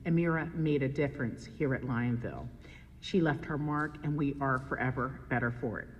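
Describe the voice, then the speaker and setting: A man reading an earnest, measured tribute to a student. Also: medium pitch, lo-fi sound, slight background noise. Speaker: school staff member giving a tribute. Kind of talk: read tribute. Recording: long reverb in a hall.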